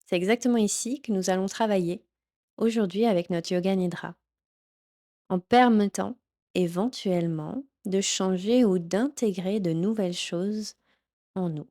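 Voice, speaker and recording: A woman teaching yoga nidra, leading a guided relaxation in a gentle, soothing, instructional manner. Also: medium pitch, a little slow. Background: background music.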